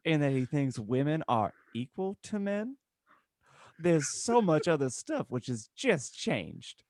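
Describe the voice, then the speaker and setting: A man's voice: a little high, sing-song, slow, darkish tone, no room sound, clean studio sound, full character voice. A man voicing a patient, preachy adult explaining a prophecy in a comic dramatic reading of fan fiction. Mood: earnest, explanatory.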